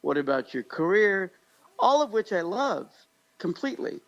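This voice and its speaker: Gravelly-edged American man, upbeat podcast host. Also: high-pitched, very animated, moderate pace, fairly bright tone, dull audio. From Pakistan-born, grew up in Kansas, United States. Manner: upbeat, jokey storytelling. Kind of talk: casual conversational podcast chat.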